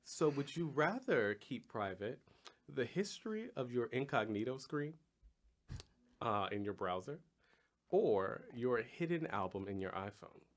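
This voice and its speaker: A man's voice: medium pitch, sing-song, unhurried. A man with an American accent hosting a podcast, posing game questions in playful casual chat with teasing, campy slang. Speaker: podcast host.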